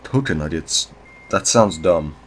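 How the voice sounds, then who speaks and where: Man playing Buck, a gruff low-voiced crook. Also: animated, unhurried, crowd noise. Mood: dry, dismissive. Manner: dry, dismissive. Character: Buck, gruff no-nonsense male drug dealer. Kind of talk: audio drama dialogue.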